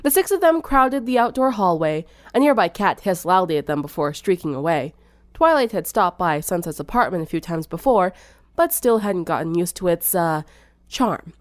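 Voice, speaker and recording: Animated young woman narrating a story. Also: fairly low pitch, moderate pace, no room sound, clean studio sound. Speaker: fan fiction narrator.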